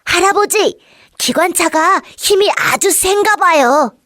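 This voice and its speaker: Woman voicing a very high-pitched excitable little kid. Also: slow, no echo, clean studio sound, exaggerated voice. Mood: eager, curious. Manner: exclaiming, wide-eyed, childlike. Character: excitable little boy in a children's storybook. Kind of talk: children's audiobook character acting.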